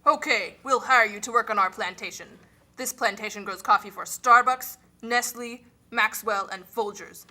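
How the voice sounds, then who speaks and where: Woman as a bossy, bright-voiced callous overseer. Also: a little high. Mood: stern. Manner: brisk, commanding. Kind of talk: amateur political puppet show.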